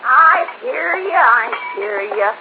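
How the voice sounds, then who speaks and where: A cranky, unsentimental housekeeper from an old-time radio play, high-pitched and scolding with folksy bluntness. It sounds like a tinny old recording. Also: female voice, expressive, takes it slowly, vocal fry, soft background music, heavily acted. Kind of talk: old-time American radio drama acting.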